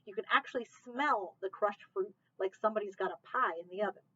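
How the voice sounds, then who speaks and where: A woman reads a children's novel aloud with expressive storybook narration. Her voice is bright and forward. The recording sounds somewhat dull and lo-fi. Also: mid-range voice, brisk, husky. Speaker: library read-aloud reader.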